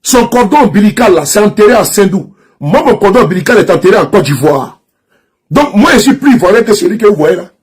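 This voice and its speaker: An Ivorian man with a strong Ivorian French accent and a high, husky voice, speaking to camera. He repeats his points over and over in a mocking, insistent tone and trips over false starts. The delivery is unscripted and personal, like an online commentator addressing his followers. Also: lively intonation, brisk. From Ivory Coast. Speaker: social media commentator. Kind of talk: direct-to-camera political rant.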